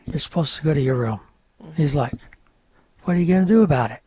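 An older man whose first language is French, from upstate New York, telling his life story as a radio guest in a halting, rambling way. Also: on the high side, lively intonation, mellow, slight background noise. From North Country of New York. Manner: rambling, halting, many uh fillers.

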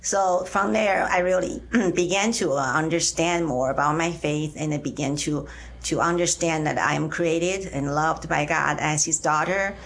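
Taiwan-born woman, low voice, halting. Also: steady tone, vocal fry. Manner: hesitant, many uh and um pauses. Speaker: guest. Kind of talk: faith-sharing interview.

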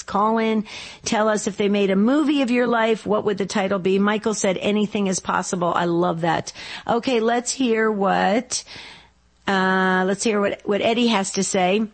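A woman with a slightly scratchy voice hosting a radio call-in show in a chatty, casual, effusive way. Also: mid-range voice.

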